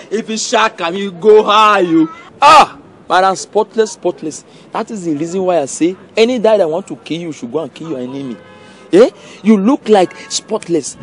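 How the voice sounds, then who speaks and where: A man's voice: sits high, moderate pace. A man with a Nigerian accent playing a boastful drinker who puts on a showy, slangy swagger in a comedy film. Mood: teasing, pleading. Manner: showy, animated slangy posturing. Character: boastful drinker putting on a returnee-from-abroad swagger, then pleading.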